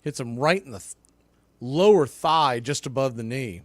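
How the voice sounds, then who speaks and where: A man calling baseball play-by-play live, in clipped pitch-by-pitch phrases. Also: expressive, normal speed, dry recording. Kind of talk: live sports commentary.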